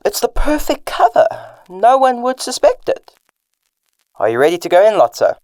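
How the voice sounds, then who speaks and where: A woman playing an earnest investigator hero in a radio drama, with a deliberate delivery. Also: a little high, huge pitch swings, darkish tone, dry recording. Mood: wary.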